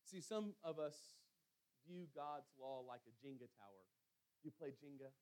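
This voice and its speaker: A man preaching a sermon as storytelling and scripture reading, using emphatic pauses and repetition. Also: on the high side, animated, darkish tone.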